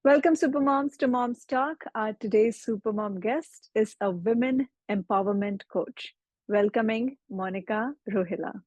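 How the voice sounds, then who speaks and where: A woman's voice: fairly high pitch, measured pace, darkish tone, soft background music. A woman hosting an online interview show, greeting her guest and asking questions with frequent affirming hums. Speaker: talk show host.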